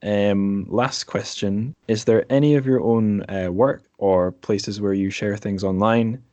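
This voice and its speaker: A man's voice: lowish voice, fairly even intonation, a little slow, dark tone, highs cut off. A man with a Scottish accent, hosting a podcast and chatting casually with a guest in an interview. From Scotland. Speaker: podcast host.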